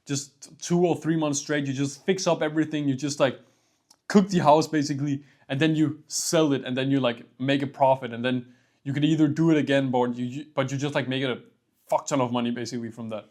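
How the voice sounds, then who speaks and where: A young man with a Danish accent talks to the camera in a loose, rambling way. His pitch sits in a plain middle range. He swears casually and fills his sentences with "like" and "bro". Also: steady tone, brisk, darkish tone. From Denmark. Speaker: vlogger. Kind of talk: casual vlog monologue.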